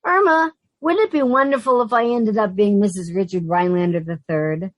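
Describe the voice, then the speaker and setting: A woman's voice: medium pitch, brisk, no echo, close to an everyday voice. An actress playing a wisecracking, exasperated but fond working woman in an old-time radio sitcom style, with wry delivery. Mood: wry, wistful. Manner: wry, sardonic quips.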